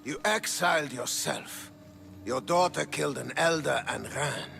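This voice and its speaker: An actor playing a stern, scornful, traditionalist Spartan king in a video game, gravelly and forceful. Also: on the high side, unhurried, a little hiss or hum, full character voice. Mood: angry, accusing. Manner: commanding, scornful. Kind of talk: dramatic video game acting.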